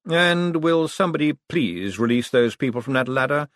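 A man voicing a dryly witty, commanding ruler in an audiobook, measured and coolly in control. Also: medium pitch, animated, a bit bright, dry recording, studio quality, pitched above the performer's own voice, close to an everyday voice. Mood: cool, courteous. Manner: measured, deliberate, authoritative.

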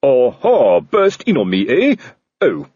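A man voicing a pompous, blustering middle-aged male watch captain who shouts and splutters indignantly. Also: mid-range voice, animated, moderate pace, breathy edge, dry recording, dull audio, pitched above the performer's own voice. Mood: huffy.

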